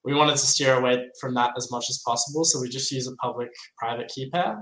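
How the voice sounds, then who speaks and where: An Australian man speaks as an interview guest, explaining things at a medium pitch. His voice comes through with a clearly roomy echo, as on a remote call from indoors. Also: fast, fairly bright tone. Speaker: guest, software project developer. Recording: roomy, echoey sound.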